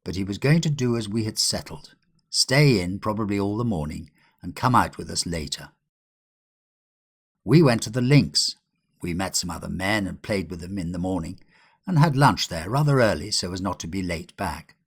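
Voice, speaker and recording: An older English man reading a literary ghost story as an audiobook narrator, in a measured, expressive way. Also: low voice, slightly rough. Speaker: audiobook reader.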